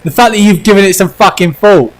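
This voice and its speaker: A man's voice: high-pitched, a little fast. A British man streaming a game, chatting casually with friends over voice chat while playing. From Britain.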